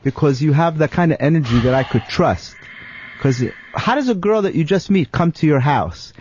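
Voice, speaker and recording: A man's voice: fairly high pitch, animated, moderate pace, a little mellow, slight background noise. A man on a talk radio show, telling stories and explaining himself earnestly in a conversational way. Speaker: talk show co-host or guest. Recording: dry, slightly dull lo-fi audio.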